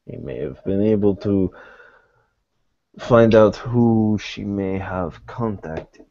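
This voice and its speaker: A man speaking in character in a tabletop roleplay, with formal, measured wording, on muffled low-fidelity audio. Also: mellow. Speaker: game master playing a character in a tabletop roleplay.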